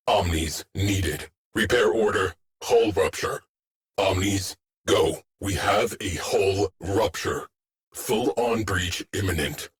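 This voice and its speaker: An actor playing a terse, stoic military starship AI in a video game, giving clipped, deadpan orders and status callouts. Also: male voice, low-pitched. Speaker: ship's tactical AI officer.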